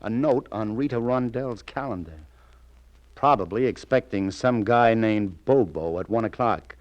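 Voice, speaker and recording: Guy acting as old time radio detective, american, lowish voice, shrewd n sardonic, clipped questions. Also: a little slow, highs cut off, natural voice. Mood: accusing. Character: shrewd, casual New York police detective lieutenant. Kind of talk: 1940s radio crime drama acting.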